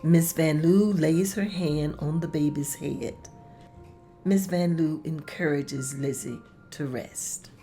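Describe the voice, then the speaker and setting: A woman narrates a script's stage directions. Her voice sits a little low and moves very slowly. Soft music runs faintly underneath.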